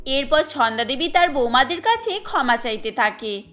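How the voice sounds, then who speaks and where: A woman's voice: high voice, sing-song, normal speed, fairly bright tone, faint music behind. A woman narrating a scripted family cartoon story.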